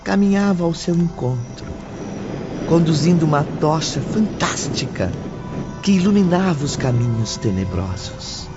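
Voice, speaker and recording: A man's voice: a little high, sing-song, unhurried. A man with a Brazilian accent reading a dramatic story in a solemn, theatrical manner. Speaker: audiobook narrator. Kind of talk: dramatic scripted narration of a spiritist novel. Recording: dull lo-fi audio with music behind.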